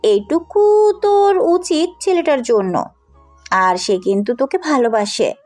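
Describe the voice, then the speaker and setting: A woman's voice: high-pitched, animated, unhurried, a little mellow. A woman acting a headstrong, compassionate young woman in an audio story, speaking bluntly and insistently. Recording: music under the voice.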